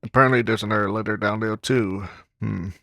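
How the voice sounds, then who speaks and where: A man records a game playthrough with a slightly low voice. He reads dialogue and written text aloud as he plays. The sound is dry, with little room echo. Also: steady tone, a little fast, vocal fry, sharp tone.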